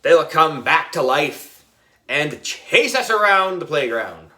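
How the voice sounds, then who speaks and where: A man voicing a cheeky, excitable schoolboy in a children's storybook, exclamatory and dramatic. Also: fairly high pitch, slow, full character voice. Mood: teasing. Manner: exclamatory, teasing.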